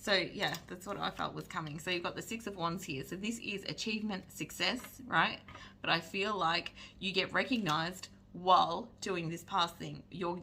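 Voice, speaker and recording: Gravelly-voiced woman reading tarot cards. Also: sits low, bright, forceful tone, slight background noise. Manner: chatty, keeps checking in with "right?". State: losing her voice. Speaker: psychic tarot reader. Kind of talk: pick-a-card tarot reading to camera.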